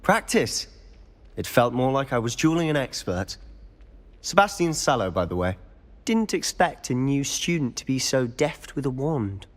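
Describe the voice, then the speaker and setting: An actor playing a cocky, charming teenage wizard schoolboy in a game, with a British accent and a teasing, confident manner. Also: male voice, medium pitch, very animated, moderate pace, close to an everyday voice. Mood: impressed, friendly.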